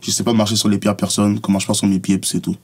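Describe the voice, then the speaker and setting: A rapid-fire man with a Montreal French accent and a husky edge to his voice. He talks bluntly and with emphasis, peppering his points with street slang as a rapper guest in a studio podcast. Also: lowish voice, flat delivery, a little mellow. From Montreal, Quebec. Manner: blunt, emphatic, says bro and tu comprends. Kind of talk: casual podcast conversation.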